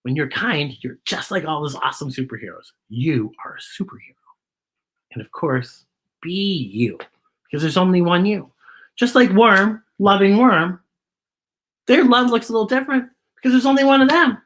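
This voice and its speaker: A woman's voice: mid-range voice, animated, normal speed. A woman hosting a story time for young children, talking expressively and putting questions to her listeners. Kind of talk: animated picture-book storytelling for young children.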